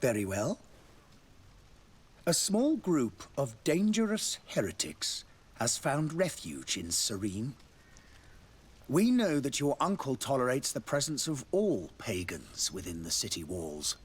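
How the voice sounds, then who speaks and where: A man's voice: medium pitch, very animated, slow, husky, exaggerated voice. A man playing a courtly, devout ambassador in a fantasy video game, speaking in a formal, florid, diplomatic way. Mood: confiding, grave. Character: courtly, devout male ambassador of a theocratic nation.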